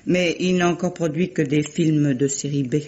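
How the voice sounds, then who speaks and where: A woman's voice: low voice, steady tone, moderate pace, warm tone, husky. An actress playing a self-possessed, ironic woman novelist in a 1950s-style French radio drama. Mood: cool, pointed. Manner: ironic, teasing, composed. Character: self-possessed remarried woman novelist, wry toward her ex-husband. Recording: muffled, noisy vintage radio recording.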